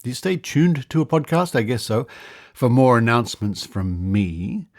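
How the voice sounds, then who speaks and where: A man with an Australian accent hosting a podcast in a conversational monologue, wry and musing, with deliberate pauses for emphasis. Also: medium pitch, dry recording, studio quality. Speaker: podcast host.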